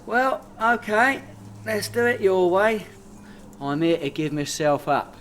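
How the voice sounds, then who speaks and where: An actor with a London accent playing a cocky, hopeless petty crook in a radio comedy, cheeky and full of brag. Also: male voice, high voice, animated, slow, fairly bright tone, slight background noise, heavily acted. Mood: indignant. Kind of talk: British comedy radio play acting.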